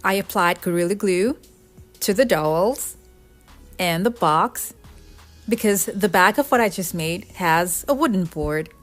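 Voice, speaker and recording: A woman's voice: fairly low pitch, lively intonation, a little slow, fairly bright tone. A woman giving an explanatory, step-by-step voice-over for a craft tutorial. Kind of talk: scripted DIY tutorial voice-over narration. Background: background music.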